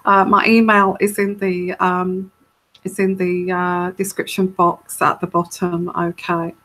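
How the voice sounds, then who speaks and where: A woman with a soft, soothing voice hosts a live tarot question-and-answer stream. She talks slowly and casually, wandering through her thoughts with plenty of ums and restarts. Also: fairly low pitch, little pitch movement. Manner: rambling, hesitant, many fillers and restarts. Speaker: tarot reader hosting a live Q&A.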